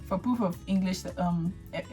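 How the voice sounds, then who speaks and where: Animated young Ghanaian woman vlogger. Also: on the low side, moderate pace, soft background music. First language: a Ghanaian language. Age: young adult. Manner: animated storyteller acting out her own thoughts. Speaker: vlogger host. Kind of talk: casual chat.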